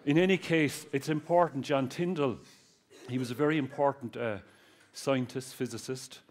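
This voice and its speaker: A man with a Cork Irish accent speaks at a middle pitch to a student audience. He jokes with the crowd and calls on them directly. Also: normal speed, slight background noise. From Ireland. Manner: joking, addresses the audience directly. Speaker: guest speaker, research engineer. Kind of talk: public talk to students.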